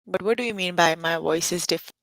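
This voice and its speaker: A woman's voice: lowish voice, quick pace, vocal fry, a bit bright, no room sound. A young adult woman teaching a live online math lesson over a computer mic, stopping often to check that students follow. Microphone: computer mic on an online call.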